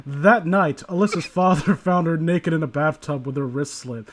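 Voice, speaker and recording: A man's voice: on the high side, normal speed. A man casually reading aloud and commenting, sardonic and prone to profane asides. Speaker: host reading and critiquing internet horror stories.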